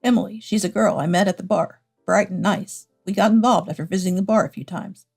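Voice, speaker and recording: A sheepish husband, played by a woman narrator, makes evasive excuses in a story drama. The voice is soft and mellow, with faint music behind it. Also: mid-range voice, fairly even intonation, brisk, gravelly, breathy edge. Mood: sheepish, stubborn. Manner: evasive, self-justifying.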